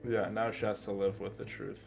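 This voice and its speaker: A man's voice: lowish voice, level tone, a little fast, vocal fry, faint music behind. A man giving casual commentary as he plays a game, repeating phrases for emphasis. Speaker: gaming streamer.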